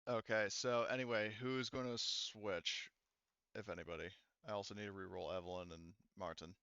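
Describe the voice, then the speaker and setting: A man improvising casual tabletop game narration as game master, in a halting way. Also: on the low side, steady tone, bright, forceful tone, clean tone, dry recording, muffled recording.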